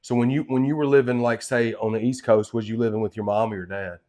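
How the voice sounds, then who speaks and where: A man's voice: medium pitch, fairly even intonation, quick pace, darkish tone. A man hosting his interview show, talking in a chatty, enthusiastic way and tossing in "man" a lot.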